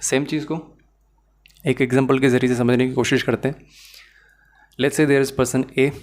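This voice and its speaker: Man, Pakistani accent, medium pitch, law lecturer, didactic delivery, code-switching with English, explanatory. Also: little pitch movement, measured pace. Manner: code-switches between Urdu-Hindi and English.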